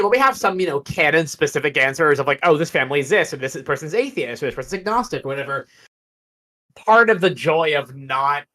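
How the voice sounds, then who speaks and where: Bright, higher-pitched man chatting thoughtfully. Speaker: guest author. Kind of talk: casual livestream interview.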